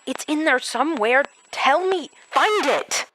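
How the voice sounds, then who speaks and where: A woman's voice: high voice, lively intonation, a little slow, fairly bright tone, a little hiss or hum. A woman playing an anxious but determined captive therapist in a horror audio drama, with an earnest manner. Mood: insistent.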